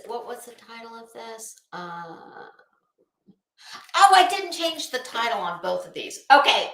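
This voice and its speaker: Woman, middle-aged, sharp forceful tone, echoey room, casual livestream host, sarcastic, gossipy, rambling commentary. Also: a little high.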